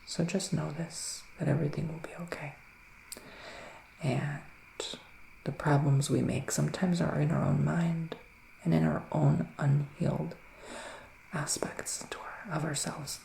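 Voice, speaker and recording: Guy doing asmr witch tarot reading, soft whispery and mystical, gravelly fry, bit of noise behind. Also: a little high, steady tone. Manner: hushed, drifting in and out of whisper; unhurried, pausing between short phrases. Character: soothing, mystical male forest witch giving tarot readings. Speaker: ASMR roleplay performer. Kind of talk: ASMR psychic reading roleplay. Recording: roomy echo, faint background noise.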